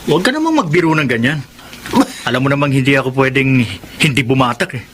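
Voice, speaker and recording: A man's voice: mid-range voice, animated, fast, a bit bright, slight background noise, lightly acted. A man playing a violent, drug-addicted young husband in a Filipino radio drama, aggressive and threatening. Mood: impatient, craving.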